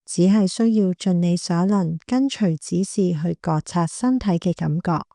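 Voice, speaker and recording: Woman, Hong Kong Cantonese accent, meditation guide, soft mellow tone, very slow pace, close dry sound. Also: fairly low pitch, dry recording. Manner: gentle, calm, unhurried instruction. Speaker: meditation guide, clinical psychologist. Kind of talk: guided body scan meditation.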